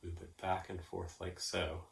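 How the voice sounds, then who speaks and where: A man's voice: deep voice, monotone, a little slow, creaky, noisy background. A man hosting a children's drawing lesson, casually talking through each step in an informal, playful way with simple explanations. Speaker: host of a children's drawing segment.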